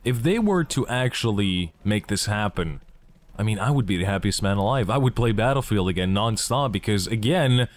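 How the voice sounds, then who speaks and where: A man's voice: on the low side, animated, normal speed. A young man from Belgium, talking enthusiastically and jokingly in a casual gaming vlog. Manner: enthusiastic, joking, asks himself questions. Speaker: gaming YouTuber.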